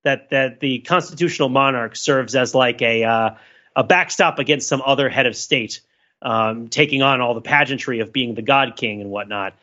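A man's voice: medium pitch, moderate pace, pushed and bright, lo-fi sound. An American man co-hosting a podcast, riffing in a rambling, casual, joking way over a call. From United States. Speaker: podcast co-host. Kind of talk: casual, joking group discussion.

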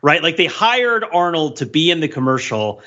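A man's voice: fairly high pitch, sing-song, normal speed, pushed and bright, dull audio. A man talking casually and analytically as a podcast panelist, working through his points in a discursive way. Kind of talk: casual pop culture analysis.

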